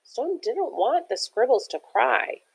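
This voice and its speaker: A woman's voice: fairly high pitch, very animated, slow, gravelly, breathy edge. A woman reading a picture book aloud like a teacher, with chatty, encouraging asides that explain things simply to young listeners. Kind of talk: animated children's read-aloud with chatty asides.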